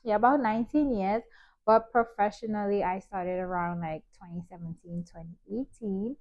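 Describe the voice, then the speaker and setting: A woman's voice: mid-range voice, normal speed, a little mellow, rough recording. A woman from Trinidad who works as a hair stylist, chatting casually to camera with lots of ums and likes. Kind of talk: casual vlog chat to camera.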